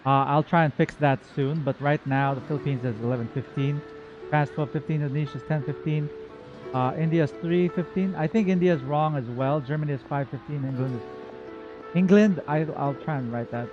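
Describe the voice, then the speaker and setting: Male livestream host, higher register, informal and rambling, slightly lo-fi sound, soft music. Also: brisk. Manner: rambling, informal, self-correcting. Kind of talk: casual chat to viewers, game reaction. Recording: dull, muffled audio with faint music.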